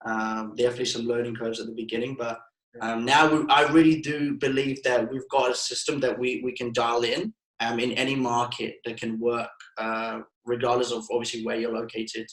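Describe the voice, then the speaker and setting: A young man from Bulgaria chats in a monotone, stumbling over his words with plenty of "um"s and restarts. His voice rings a little in an echoey room. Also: medium pitch, normal speed. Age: 20s. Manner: hesitant, many restarts and fillers. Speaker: marketing agency guest. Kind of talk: casual podcast interview.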